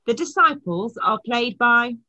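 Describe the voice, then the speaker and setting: A woman's voice: fairly high pitch, animated, measured pace, sharp tone, highs cut off. A middle-aged woman, a church minister, giving a formal welcome and introductions over a webcam from her home study.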